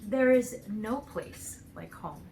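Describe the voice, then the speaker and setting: A woman's voice: mid-range voice, lively intonation, slow pace, a little mellow, slight background noise. A woman voicing a homesick, plainspoken little farm girl in a storybook, firm and sincere.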